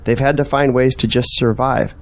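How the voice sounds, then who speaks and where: A man's voice: mid-range voice, fairly even intonation, moderate pace, close to an everyday voice. Earnest man, searching and persuasive, questioning manner, audio drama dialogue, acted by a man. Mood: thoughtful, concerned.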